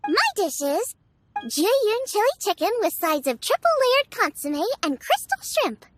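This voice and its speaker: Childlike game girl, extremely high pitch, swooping intonation, bubbly, eager, light music behind. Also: sing-song, a little slow, a little hiss or hum, exaggerated voice. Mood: proud, cheerful. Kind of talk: video game character acting.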